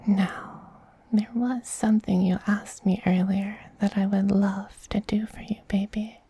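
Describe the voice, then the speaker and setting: Man as a whispering, dark-toned, effeminate vampire queen. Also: sits high, flat delivery, exaggerated voice. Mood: adoring. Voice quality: breathy, whispery, soft. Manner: slow, soothing, doting. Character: tender, dominant, effeminate vampire queen soothing a beloved pet. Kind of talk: soft-spoken ASMR roleplay.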